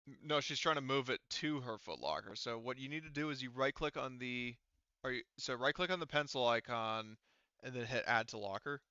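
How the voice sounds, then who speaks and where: A man running an online tabletop game as game master, talking casually and haltingly as he thinks aloud while troubleshooting. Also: mid-range voice, pushed and bright, clean tone, dry recording, lo-fi sound.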